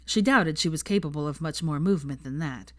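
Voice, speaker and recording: A woman's voice: sits low, fairly quick. A woman reading a story aloud as a narrator, in a scripted storytelling style. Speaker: audiobook narrator of fan fiction.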